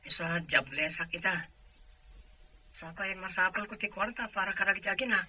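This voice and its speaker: A husky voice from an actor plays an earnest married working father in a dramatized family story. The delivery is sincere and unpolished. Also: medium pitch, moderate pace, pushed and bright, rough recording. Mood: worried. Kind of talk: dramatized audio story acting.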